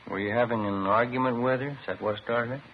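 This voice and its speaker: A man's voice: mid-range voice, steady tone, fast talker, close to an everyday voice. A man playing a patient but pressing police detective in a 1950s radio crime drama, understated and skeptical. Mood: skeptical, probing. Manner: understated, matter-of-fact. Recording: muffled, noisy old 1950s radio recording.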